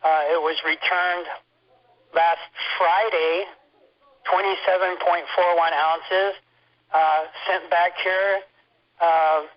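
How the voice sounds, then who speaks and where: Male patient giving an informal complaint account, fairly high voice, muffled telephone sound. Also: flat delivery, slow pace, pauses often. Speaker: hospital patient reporting complaints on a conference call. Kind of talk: informal first-hand account. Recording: muffled lo-fi telephone conference line.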